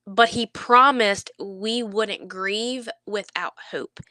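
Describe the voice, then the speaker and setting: A woman speaks in a bright, clear voice with a slightly congested nose. She talks to the camera about faith in an earnest, reassuring and conversational way, often halting to find her words. The sound is dry, with very little room in it. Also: mid-range voice, no room sound. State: stuffy nose, under the weather. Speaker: faith vlogger. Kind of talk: heartfelt devotional talk to camera.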